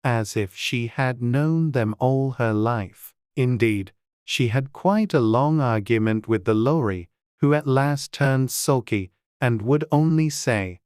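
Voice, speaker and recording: A man's voice: medium pitch, takes it slowly, a little mellow, clear, resonant tone, dry recording. Man narrating a storybook, dry close mic.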